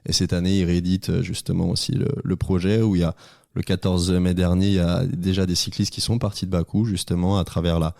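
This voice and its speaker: A man's voice: low-pitched, level tone, fast, gravelly, a little mellow, no room sound, studio quality. A man, a radio guest, explaining at length with many fillers. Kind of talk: radio interview.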